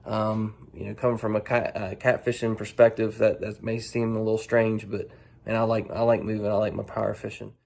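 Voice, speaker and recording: A man speaking casually and haltingly as an angler answering a set of profile questions, restarting phrases as he goes. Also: fairly low pitch, little pitch movement, gravelly, a little mellow.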